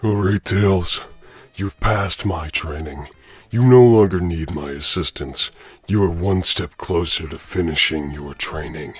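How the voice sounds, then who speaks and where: A man voicing a stern, terse superhero mentor with a gruff voice, in a comic dub. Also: low voice, a little slow, no echo. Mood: stern, curt. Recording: music behind the voice.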